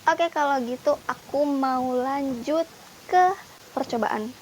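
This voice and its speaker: A fairly high-pitched woman talks through a skincare review in a loose, chatty way. She keeps turning to her viewers and filling gaps with little hesitations. Her voice is growing hoarse, and a faint hiss of noise sits under it. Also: takes it slowly, darkish tone. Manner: chatty, addresses viewers as guys, many fillers. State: voice wearing out, getting hoarse. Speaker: beauty vlogger reviewing skincare. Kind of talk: casual product review to camera.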